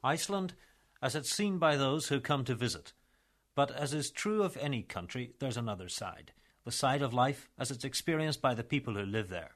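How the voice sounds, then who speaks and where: A man presenting a documentary, narrating in a measured, formal way and interviewing a local woman. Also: medium pitch, animated, fairly bright tone.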